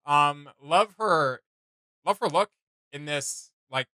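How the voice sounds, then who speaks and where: A man's voice: fairly high pitch, animated, slow, bright, forceful tone, clean tone, no echo, studio quality. A man hosting a casual reaction video, chatting in an enthusiastic, rambling and slangy way.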